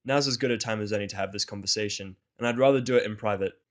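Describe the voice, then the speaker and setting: A man's voice: on the low side, very fast. A man reading scripted first-person narration for an audio drama.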